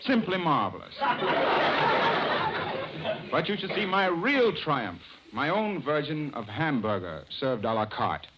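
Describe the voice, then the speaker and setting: A man's voice: on the high side, very animated, unhurried, long pauses. An actor playing a genial, slightly vain straight man with playful delivery in vintage radio comedy. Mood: encouraging, boastful. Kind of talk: 1930s-40s radio comedy acting. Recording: muffled, noisy old radio recording.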